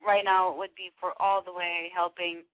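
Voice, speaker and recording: A woman's voice: fairly low pitch, little pitch movement, normal speed. A woman in her early twenties from Mexico, talking as a guest on a radio show and rambling through many restarts and fillers. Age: 20s. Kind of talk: phone-in radio interview. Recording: tinny dry phone line.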